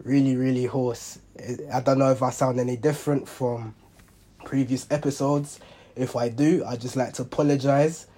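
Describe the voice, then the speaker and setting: A young man with a hoarse voice, hosting a football reaction show in a rambling, casual monologue full of ums and self-corrections. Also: medium pitch, fairly even intonation, normal speed, warm tone. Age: young adult. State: hoarse, under the weather. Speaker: football reaction channel host.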